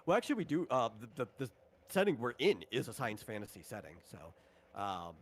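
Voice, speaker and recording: A man's voice: mid-range voice, sing-song, a little fast. A man casually explaining tabletop game rules in an informal, conversational way as he runs a session. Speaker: game designer running a tabletop RPG session.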